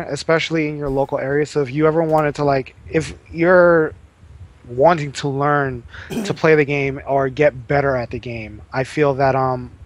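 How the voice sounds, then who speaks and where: Male podcast guest, slightly high voice, steady explanatory delivery, lots of ums. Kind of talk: casual podcast advice.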